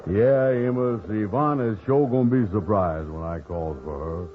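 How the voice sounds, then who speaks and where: Guy doing big dumb lug, folksy slow drawl, real low voice, tinny old radio comedy. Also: slight background noise, lightly acted. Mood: smug, lovestruck. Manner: folksy, ponderous, ungrammatical drawl. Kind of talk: 1950s radio comedy acting.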